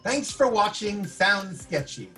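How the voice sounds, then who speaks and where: Male announcer for web series credits, animated and swooping delivery. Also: sits high, slow, soft background music.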